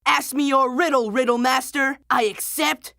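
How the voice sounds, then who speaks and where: A man voicing an eager, slangy, adventurous cartoon boy with a squeaky, creaky voice on a rough scratch track. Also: steady tone, moderate pace, sharp tone, no echo, clean studio sound, exaggerated voice. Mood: bold, impatient.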